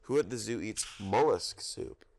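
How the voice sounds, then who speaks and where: Slow, gravelly man reading to children. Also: lowish voice, expressive, a little mellow. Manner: interactive, pauses to prompt listeners for guesses. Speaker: storybook reader at a children's story time. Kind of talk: read-aloud of a children's book with questions to listeners.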